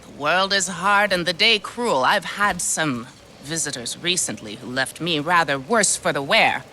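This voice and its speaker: A woman's voice: sits low, animated, a little slow, pushed and bright, a little hiss or hum, heavily acted, low-quality recording. A woman playing a sweet-talking, cunning old widow with a doting, theatrical manner in a video game. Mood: plaintive. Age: older. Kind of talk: video game cutscene acting.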